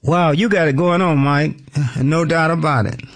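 A man hosting a talk radio show in a studio, with a squeaky voice and an exuberant, catchphrase-heavy manner. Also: moderate pace, creaky. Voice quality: squeaky, high voice. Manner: exuberant, repeats catchphrases, shouts cues at the board.

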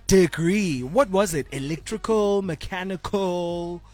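Male radio host, high voice, upbeat, teasing interviewer. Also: measured pace, darkish tone. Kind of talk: radio show presenting and interviewing. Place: radio studio.

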